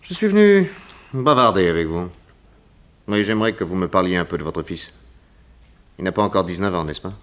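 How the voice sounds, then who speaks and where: A man playing a composed, probing private detective in 1960s French radio drama, speaking with courteous, measured questioning. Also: deep voice, lively intonation.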